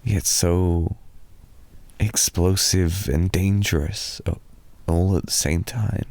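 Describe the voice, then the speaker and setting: A British man softly rambling in an improvised ASMR boyfriend roleplay, telling a drowsy, meandering story to lull a listener to sleep. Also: low voice, fairly even intonation. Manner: soft, slow, drowsy storytelling.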